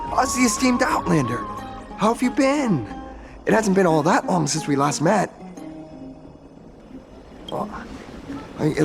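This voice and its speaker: Flustered, timid male artist for a game: highish, creaky voice, stammering and apologetic. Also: heavily acted. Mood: nervous, earnest. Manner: stammering, hesitant, jittery. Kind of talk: video game character acting.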